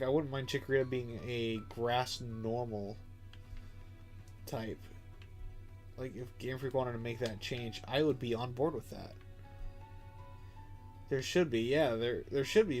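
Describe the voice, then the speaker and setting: A man's voice: mid-range voice, measured pace, long pauses, a little mellow, soft background music. A young man with a stuffed-up nose, chatting casually and rambling while he streams a game. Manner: chatty, rambling, filler-heavy. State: stuffed up, congested. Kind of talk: casual live-stream commentary.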